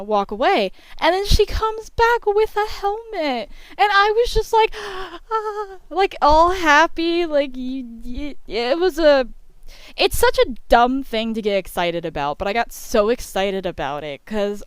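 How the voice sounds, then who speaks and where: A woman's voice: on the high side, clean tone, dry recording. A young woman telling a casual, chatty story in a giddy way, acting out what people said. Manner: giddy, animated retelling with acted-out quotes. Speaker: storyteller narrating over a speedpaint video.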